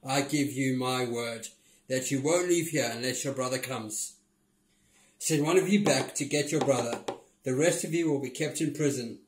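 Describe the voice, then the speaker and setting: A man's voice: medium pitch, normal speed, a little hiss or hum, lightly acted. Man playing a stern, commanding governor. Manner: commanding, accusing. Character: stern, mean male governor interrogating suspects. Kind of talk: dramatic storybook reading. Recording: roomy indoor echo.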